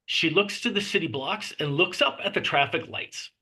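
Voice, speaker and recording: A man narrates a screenplay in a fairly high, forceful voice with a husky grain. He delivers the stage directions in measured phrases and clips the scene headings short.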